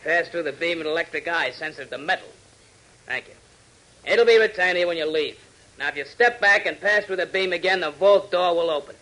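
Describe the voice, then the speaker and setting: A male security guard in a forties radio serial. His voice is sharp and a little high, with a formal, polite, officious delivery. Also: a little fast, low-quality recording. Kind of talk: 1940s radio adventure acting.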